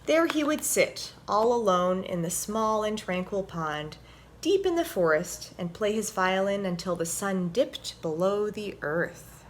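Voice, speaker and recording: A woman presenting and reading a children's story aloud in an expressive, clearly told storytelling manner. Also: medium pitch, measured pace, slight background noise.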